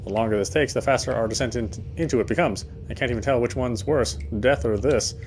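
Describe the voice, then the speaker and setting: A man's voice: mid-range voice, fast, a little hiss or hum. A man reading a horror game's first-person narration aloud in a dramatic storytelling style. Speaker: let's player reading game text aloud.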